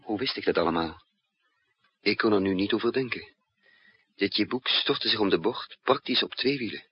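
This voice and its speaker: An actor playing a bold, resolute hunted double agent in a 1960s radio drama, husky and emphatic. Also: male voice, lowish voice, moderate pace. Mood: narrating. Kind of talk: 1960s radio drama acting with first-person narration. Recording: dull, noisy, roomless 1960s radio recording.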